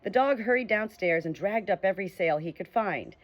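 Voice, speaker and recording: A woman narrating a children's storybook as an audiobook, reading in a clear, steady way. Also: mid-range voice, a little fast, highs cut off, a little hiss or hum.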